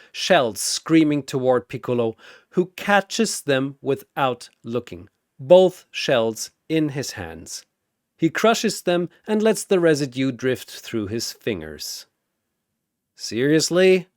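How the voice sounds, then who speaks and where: Dramatic man narrator, widely swinging pitch. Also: dry recording, studio quality. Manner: theatrical, deliberate. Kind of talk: dramatic scripted battle narration.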